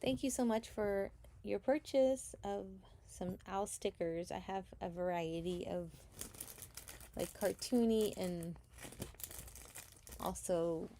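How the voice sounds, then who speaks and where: Picture a chatty woman hosting a casual live sale, voice sitting at a middle pitch. She rambles from topic to topic and thanks buyers as she goes, while faint crinkling and rustling sounds carry on behind her. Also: takes it slowly, pauses often, dark tone, slight background noise. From Chile. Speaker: live seller. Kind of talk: casual livestream chat. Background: crinkling sticker packs.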